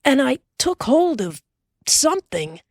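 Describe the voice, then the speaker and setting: A woman reading aloud who voices a steady, teasing pioneer father in a low, plain, earnest way. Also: measured pace, dry recording, studio quality, full character voice.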